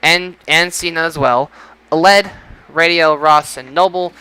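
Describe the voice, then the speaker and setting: A man with a bright, clear voice hosts a casual podcast. His pitch sits a little high, and he talks haltingly, often doubling back to repeat a phrase. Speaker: podcast host. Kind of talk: casual podcast sign-off talk.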